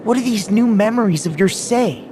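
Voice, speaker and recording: An actor playing a gentle, concerned young man in a video game, speaking earnestly and carefully. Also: moderate pace, close to an everyday voice. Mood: probing, hushed. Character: gentle, concerned young male companion, sincere and probing. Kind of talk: English video game voice acting. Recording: clear rumbling noise behind voice.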